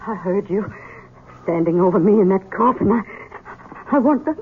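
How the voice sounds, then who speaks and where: An actress playing a frightened, proud, wealthy older mother who talks in halting, breathy phrases in a radio drama. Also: mid-range voice, a little hiss or hum, natural voice. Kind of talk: 1970s radio drama acting. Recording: tinny old radio broadcast.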